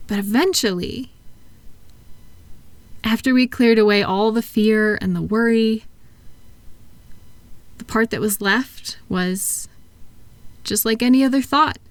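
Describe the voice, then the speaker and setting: A woman playing a kind therapist in a comfort audio roleplay, speaking softly and gently, reassuring and encouraging her patient without hurry. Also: on the high side, slow, pauses often, dry recording. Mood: soothing, reflective. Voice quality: soft-spoken. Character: kind, soothing woman therapist guiding a patient with futuristic tablet.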